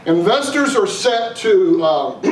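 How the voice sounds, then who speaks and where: Homme texan à un séminaire. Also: male voice, high-pitched, big pitch swings, slow, a crowd in the background. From East Texas. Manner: folksy, rhetorical questions to the room. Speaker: investment speaker. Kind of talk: live seminar talk to an audience. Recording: roomy, distant, faint noise.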